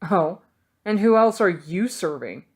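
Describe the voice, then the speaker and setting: A woman's voice: medium pitch, sing-song, measured pace, slightly rough. A woman voicing a cocky, sardonic young male thief in an audiobook, dry and needling. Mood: defiant.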